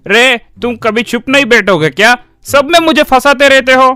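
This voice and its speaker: Anxious young male friend, extremely high voice, sharp tone, complaining, cartoon comedy story, acted by a man. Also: moderate pace, smooth, no echo, faint music behind. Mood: exasperated.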